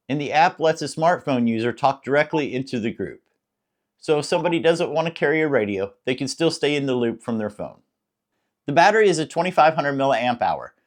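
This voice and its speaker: A man with an American accent narrating a scripted product review in a plain-spoken, explanatory way. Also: mid-range voice.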